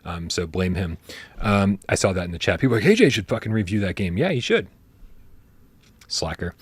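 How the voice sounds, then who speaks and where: A man hosting a casual gaming livestream, talking in animated bursts, swearing casually and restarting his sentences. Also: low voice, brisk, husky, no room sound. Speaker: podcast host.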